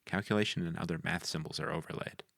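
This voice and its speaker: Casting: male screenplay narrator, very low and creaky, recorded dry. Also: fairly even intonation, fast talker, fairly bright tone, dry recording. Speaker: narrator reading stage directions. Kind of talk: scripted narration at a film table read.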